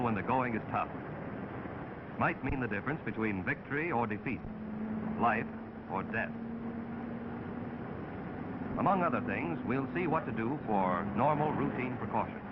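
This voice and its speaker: A man's voice: medium pitch, lively intonation, normal speed, pauses often. A man reading a formal, emphatic scripted narration for a 1940s military training film. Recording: noisy, dull 1940s film soundtrack.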